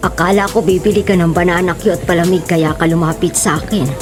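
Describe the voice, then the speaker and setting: A woman's voice: fairly low pitch, fairly even intonation, fast talker, music in the background, natural voice. A woman acting as a defensive, protective mother in a Filipino radio drama, with a pleading manner. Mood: uneasy.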